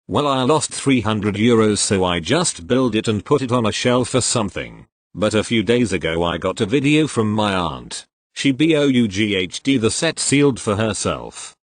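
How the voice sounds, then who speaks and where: Man, low voice, animated story reading, dry close mic. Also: dry recording. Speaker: reader of online posts. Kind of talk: reading stories aloud.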